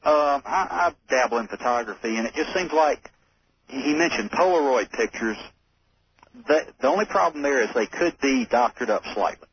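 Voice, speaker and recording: A man's voice: medium pitch, lively intonation, moderate pace. A forty-year-old man from Alabama calling in to a radio show, folksy, hesitant and agreeable. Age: 40s. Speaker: caller. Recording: phone-in call on noisy lo-fi radio.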